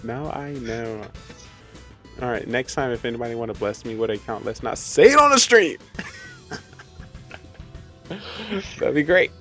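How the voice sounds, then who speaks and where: Lively, playful male stream host. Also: medium pitch, normal speed, long pauses, soft background music. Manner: playful, laughs often, lively. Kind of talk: casual gaming stream chat.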